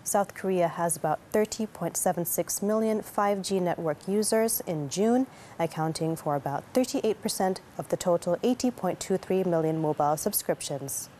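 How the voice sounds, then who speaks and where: A woman's voice: on the low side, moderate pace, mellow, slight background noise. A woman reading scripted news as an anchor.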